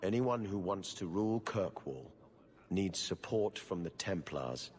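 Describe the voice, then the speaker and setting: A weary, harried bureaucrat in a video game. His deep voice rises and falls with formal, dry exasperation in long reverb. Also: lively intonation, measured pace, slightly rough, full character voice. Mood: grave, warning. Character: weary, harried, sardonic male city official. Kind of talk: video game cutscene acting.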